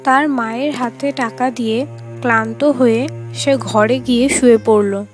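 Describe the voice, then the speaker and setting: A woman's voice: a little high, fairly even intonation, a little slow, faint music behind. A woman reading scripted storybook narration for a cartoon tale.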